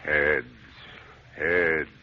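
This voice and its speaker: An actor playing an ancient, contemptuous, hungry alien mind in an old radio horror play, speaking haltingly and haughtily with a gravelly voice. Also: male voice, extremely low pitch, flat delivery, heavily acted. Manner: haughty, menacing, halting delivery. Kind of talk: 1940s radio horror acting. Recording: dull, noisy old radio recording.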